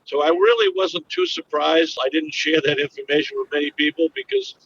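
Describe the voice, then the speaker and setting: A plain-spoken man answering interview questions in a calm way. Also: a little high, a little fast, vocal fry, far from the mic, muffled recording, a little hiss or hum. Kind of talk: recorded interview.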